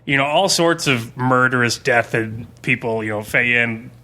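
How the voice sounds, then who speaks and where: A man's voice: mid-range voice, moderate pace, pushed and bright. A man talking casually on a podcast as a game master, rambling through stories and swearing freely.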